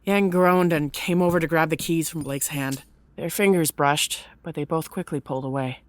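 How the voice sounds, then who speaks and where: A woman reading scripted third-person story narration in an expressive storytelling manner. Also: low-pitched, normal speed, dry recording.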